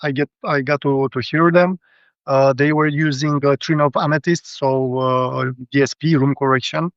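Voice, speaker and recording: A man's voice: medium pitch, steady tone, moderate pace, no room sound. A man with a Romanian accent giving a casual technical rundown as a guest, speaking hesitantly, over dull lo-fi audio. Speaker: guest, hi-fi show visitor.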